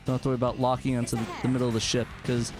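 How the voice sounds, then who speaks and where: Informal male host with medium pitch. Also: monotone, rapid-fire. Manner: informal, conversational. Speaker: show host. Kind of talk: casual game chat. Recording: music playing behind the voice. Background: music.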